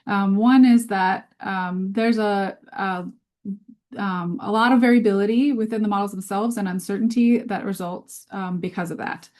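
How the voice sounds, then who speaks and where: A woman's voice: mid-range voice, moderate pace, a little mellow. A woman presenting a scientific webinar over a video call in an informal, conversational way, often hesitant as she thinks aloud.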